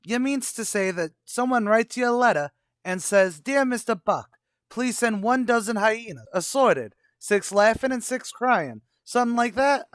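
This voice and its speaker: A man playing a brash, fast-talking tavern manager with slangy speech in an old-time radio comedy. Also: very high voice, lively intonation, a bit bright, no echo, studio quality. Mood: schmoozing. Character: wisecracking adult male tavern manager.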